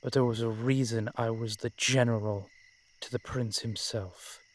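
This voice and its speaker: A man playing a centuries-old vampire general in a roleplay audio drama, protective and proud, speaking with courtly, measured phrasing and dramatic pauses. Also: medium pitch, fairly even intonation, close to an everyday voice. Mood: protective, resolute. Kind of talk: roleplay audio drama, addressed intimately to one listener.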